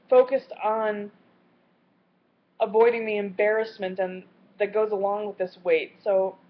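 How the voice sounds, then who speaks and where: A woman in her late twenties talking in a personal video diary, earnest and candid, with a halting way of speaking. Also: mid-range voice. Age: late 20s. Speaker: vlogger. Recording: slightly muffled lo-fi audio.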